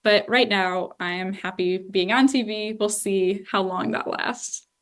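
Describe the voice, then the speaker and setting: Woman, 20s, from Northeast Ohio, political reporter, candid, animated, mid-range voice, lecture room talk. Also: medium pitch, a little slow. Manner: candid, animated, frequent "you know" and "I love". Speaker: political journalist. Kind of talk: public talk answering questions.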